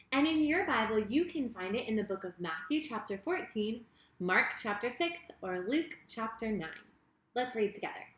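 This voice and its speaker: Higher-voiced woman reading to children, roomy echo. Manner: animated, deliberate, addressing children directly. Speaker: children's pastor and storyteller. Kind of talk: expressive children's story reading. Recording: roomy, echoing sound.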